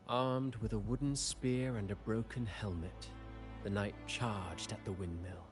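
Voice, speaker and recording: An actor playing a cryptic, courteous male storyteller in a video game, speaking in a poetic, deliberate, teasing way. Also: lowish voice, fairly even intonation, close to an everyday voice. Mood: theatrical narration. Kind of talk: dramatic video game dialogue. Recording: clear background music under game dialogue. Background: music.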